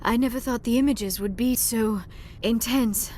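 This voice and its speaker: A woman's voice: on the high side, moderate pace, background noise, natural voice. A woman playing an earnest, polite alien scientist in a video game, speaking in careful phrases. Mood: awed. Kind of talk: dramatic video game voice acting. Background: music.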